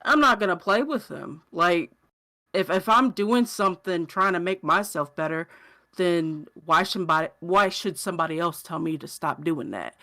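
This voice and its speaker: A podcast co-host chatting casually about gaming, with informal, somewhat halting phrasing. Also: mid-range voice, sharp tone.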